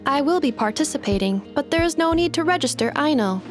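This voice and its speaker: A woman's voice: high-pitched, expressive, brisk, music in the background. An actress in a video game playing a composed, matter-of-fact woman.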